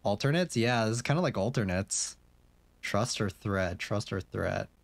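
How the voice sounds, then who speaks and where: A man's voice: lowish voice, lively intonation, moderate pace, vocal fry, no room sound. A young man streaming a game, chatting casually and rambling, with lots of fillers and restarts, and reading on-screen questions aloud.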